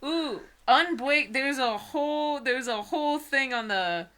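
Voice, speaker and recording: A woman's voice: high voice, animated, a little slow, pushed and bright. A young woman co-host in casual comedic chat, wry and slangy, hedging as she comments.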